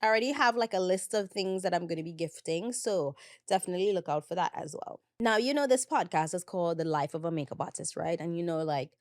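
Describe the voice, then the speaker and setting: A woman hosting her own podcast, chatting casually and animatedly about her work, still slightly hoarse. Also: fairly low pitch, quick pace, no echo, studio quality. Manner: chatty and animated, laughing often. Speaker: podcast host, makeup artist. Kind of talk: casual solo podcast chat.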